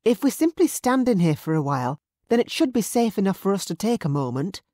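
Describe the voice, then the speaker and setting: Narrator putting on a sharp-tongued pregnant noblewoman. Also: on the high side, sing-song, normal speed, dry recording, clean studio sound, voice pushed up. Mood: defiant. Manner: defiant, snappish.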